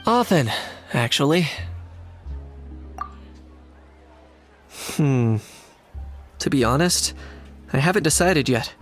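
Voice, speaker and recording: A man's voice: fairly high pitch, lively intonation, measured pace. An actor playing a stoic, pragmatic young man in a video game, speaking in a dry, composed way with music behind. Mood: dry, matter-of-fact. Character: stoic, pragmatic, self-possessed young male adventurer. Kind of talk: video game character acting.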